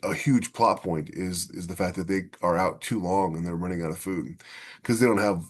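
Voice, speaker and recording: A man in casual discussion as a podcast host, somewhat halting as he thinks aloud. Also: low voice.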